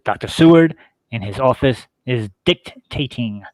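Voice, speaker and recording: A man's voice: medium pitch, expressive, measured pace, a little mellow, no echo. A man narrating a horror play, reading stage directions in a terse, theatrical way.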